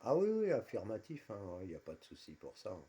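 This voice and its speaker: A man's voice: lowish voice, huge pitch swings, fast, dark tone, a little hiss or hum. A man chatting casually as a radio operator, repeating his confirmations and using radio jargon. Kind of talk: casual radio contact chat.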